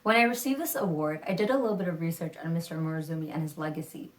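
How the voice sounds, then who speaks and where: A woman's voice: deep voice, racing. A young woman from Tanzania, a law student, giving a polite and formal scholarship acceptance speech.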